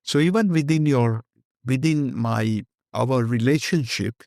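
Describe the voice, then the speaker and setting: A man's voice: medium pitch, a little slow, gravelly, darkish tone, no echo, clean studio sound. An older man with an Indian accent, a guest speaking candidly and rambling in an interview, slipping Hindi phrases into his English. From Kenya.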